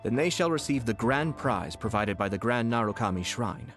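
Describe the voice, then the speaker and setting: A man's voice: mid-range voice, animated, moderate pace, fairly bright tone, soft background music, lightly acted. An actor playing a courteous, composed male shrine event official in an anime game dub, speaking in a polite, formal way.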